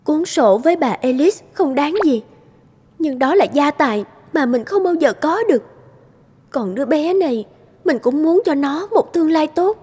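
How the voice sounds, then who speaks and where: A woman's voice: sits high, lively intonation, moderate pace, a little mellow, no echo. A woman voicing a timid, anxious young housemaid in a cartoon, polite and hesitant. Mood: conflicted. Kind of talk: cartoon voice acting. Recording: faint music behind the voice.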